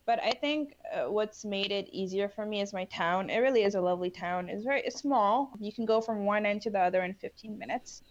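A woman's voice: medium pitch, a little fast, hardly any pauses. A young woman, born in Bangladesh and raised in New York, answering interview questions in a chatty way with fillers and restarts. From Bangladesh, raised in New York City. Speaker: interview guest, Peace Corps volunteer. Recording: dull lo-fi sound.

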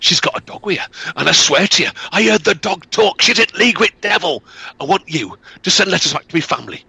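Man playing a cursed peddler, loud, high-strung, bright edge, dry sound. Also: expressive, normal speed, creaky, slightly rough, no room sound, full character voice. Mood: agitated. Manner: shouting, raised and agitated. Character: gruff middle-aged northern peddler, terrified and angry.

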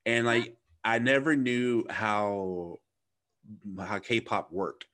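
A man's voice: on the low side, very slow, fairly bright tone. Laid-back man in his thirties hosting. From Louisiana. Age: 30s. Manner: laid-back, slangy, teasing. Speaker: podcast host. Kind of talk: casual podcast interview.

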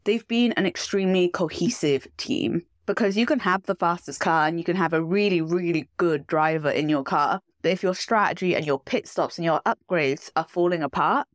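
A woman hosting a solo sports podcast, enthusiastic and emphatic, her voice slightly rough from a cough and cold. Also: on the low side, moderate pace, few pauses, no echo, clean studio sound. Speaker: podcast host.

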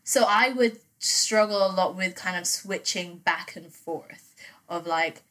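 A woman's voice: on the low side, slow pace. Chatty young woman with a Filipino-English accent. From Philippines. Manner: animated storyteller, lots of fillers. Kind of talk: casual chat.

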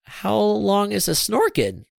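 A man's voice: high voice, very animated, slow, gravelly, no room sound, studio quality, voice pushed up, full character voice. A man voicing a determined, feisty young girl in a storybook, speaking in an insistent, emphatic way. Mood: pleading.

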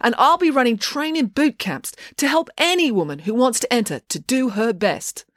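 An upbeat, self-assured young woman athlete acted by a female narrator makes proud, rallying declarations. The pitch is lifted and the delivery is loud and public. It is a storybook character in a dry recording. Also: very animated, unhurried, no echo. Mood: earnest, rallying.

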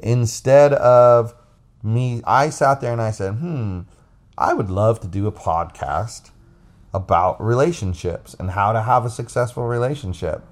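A man's voice: mid-range voice, animated, a little slow. A man co-hosting a casual, unscripted podcast, explaining things in a rambling way into a phone. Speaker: podcast co-host. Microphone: recorded into a phone app.

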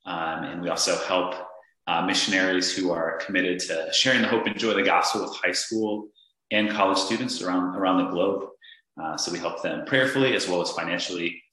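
A man from Northern Virginia, a guest on a podcast, speaking earnestly in a halting way with many fillers and restarts. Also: deep voice, fairly even intonation, sharp tone. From Northern Virginia, USA. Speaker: podcast guest, real estate investor. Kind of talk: casual interview conversation. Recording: echoey room.

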